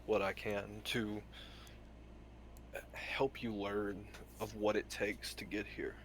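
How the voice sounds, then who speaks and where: A man playing a reformed villain ninja mentor in a tabletop game, speaking in a deliberate, earnest, halting way. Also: fairly low pitch, little pitch movement. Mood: solemn, earnest. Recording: noticeable background room noise.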